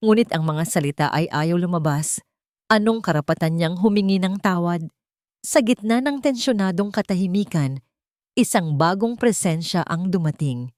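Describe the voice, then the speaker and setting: A woman narrating a scripted audio drama in a measured, dramatic storytelling manner. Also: lowish voice, a little mellow, no room sound, studio quality.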